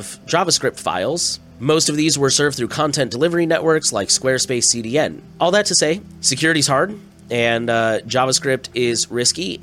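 A man's voice: mid-range voice, fairly bright tone. Stuffy-nosed man with a cold. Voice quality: slightly scratchy. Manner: plain, conversational, with asides. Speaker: podcast host. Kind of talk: news podcast reading.

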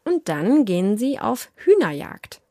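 A woman, an actress and audiobook narrator, telling stories in a lively, animated way during an interview. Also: fairly high pitch, unhurried, dry recording, clean studio sound. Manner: lively, animated storyteller who slips into voices. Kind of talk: relaxed conversational interview.

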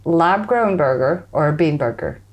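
Woman, fairly low voice, playful interviewer, quick questions. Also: expressive. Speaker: podcast host, interviewer. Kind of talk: casual interview.

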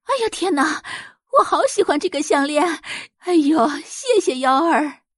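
A woman's voice: sits high, animated, unhurried, no echo, clean studio sound, pitched above the performer's own voice. A woman voicing a doting rich mother in an audio drama, fussy, theatrical and exaggerated in every reaction. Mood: gushing delight. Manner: exaggerated, gushing, fretful.

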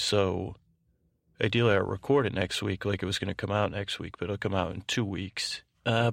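A man hosting a podcast, talking informally in a halting, meandering way and often breaking off to circle back. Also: fairly low pitch, vocal fry, fairly bright tone, dry recording, clean studio sound. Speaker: podcast host. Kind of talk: rambling informal podcast announcements for a bedtime show.

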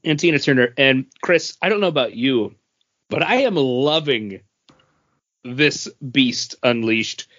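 A man's voice: mid-range voice, animated, unhurried, fairly bright tone. A man co-hosting a podcast, chatting casually with the others. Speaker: podcast co-host. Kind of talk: casual chat. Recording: dull lo-fi recording.

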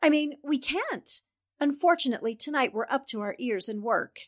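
A woman's voice: high-pitched, animated, moderate pace, dry recording, studio quality. A woman acting a determined, easily exasperated newspaper reporter in a 1940s-style radio drama. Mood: amused exasperation.

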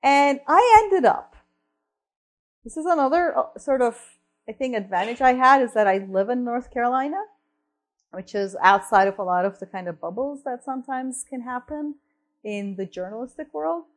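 A fairly high-voiced woman from Turkey speaks in the lively way of a lecture. She checks in with "right?" and often restarts her sentences, thinking aloud as she goes. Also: normal speed. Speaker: researcher and columnist giving a talk. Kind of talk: lecture-style talk.

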